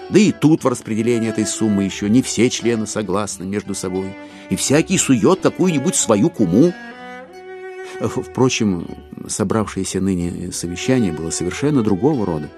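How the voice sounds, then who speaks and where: A man narrating a dramatized literary classic in a wry, ironic manner. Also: lowish voice.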